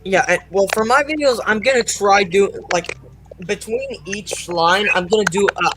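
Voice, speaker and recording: A young man with a high voice chats casually on an online call. Clear background noise sits under his voice. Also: moderate pace. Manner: chatty, says his voice is usually loud. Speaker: co-creator and voice actor. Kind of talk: casual chat over a voice call. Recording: noisy internet call line.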